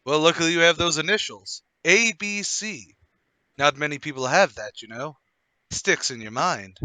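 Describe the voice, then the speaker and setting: Man playing a bright, chatty, curious fellow. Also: a little high, normal speed, no room sound, poor audio. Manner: friendly, inquisitive, talkative. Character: chatty, curious, good-natured male passer-by. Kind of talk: radio play table read.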